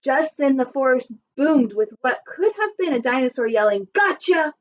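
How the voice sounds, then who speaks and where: A woman teacher reading a children's story aloud expressively and pausing to put questions to her listeners. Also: sits high, lively intonation, darkish tone. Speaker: primary school teacher. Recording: dull lo-fi recording.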